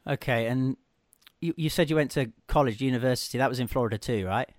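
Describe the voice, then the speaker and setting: A man hosting a radio show, chatty and quick to laugh, often stopping to correct himself. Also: medium pitch, no room sound, clean studio sound. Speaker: radio host. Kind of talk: casual radio chat.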